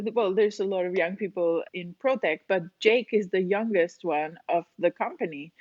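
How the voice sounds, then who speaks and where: A woman's voice: mid-range voice, no room sound. Explanatory woman in her forties, lo-fi. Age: 40s. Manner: chatty, explanatory, laughs often. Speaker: guest, cave diving instructor. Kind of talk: casual interview talk.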